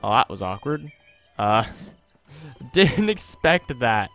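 A man's voice: mid-range voice, big pitch swings, slow, a bit bright. A man hosting a gaming video with chatty, wry, self-deprecating casual commentary, speaking close to a desktop microphone. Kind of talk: casual let's-play commentary. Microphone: close desktop USB microphone. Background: game music.

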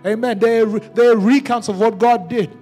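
A young man with a high voice preaches on a church stage, speaking into a handheld microphone. He builds to fervent peaks and keeps returning to amen. Soft music plays faintly underneath. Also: steady tone, normal speed. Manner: preaching with rising fervor, repeated amens, handheld mic. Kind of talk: sermon.

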